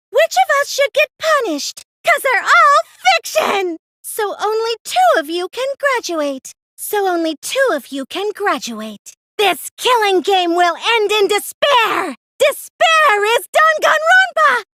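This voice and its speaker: Game villain: young cosplayer mastermind, piercingly high, very animated, taunting, dry close recording. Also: female voice, no room sound, full character voice. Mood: gloating, manic. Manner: theatrical, gloating, taunting. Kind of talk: anime game voice acting.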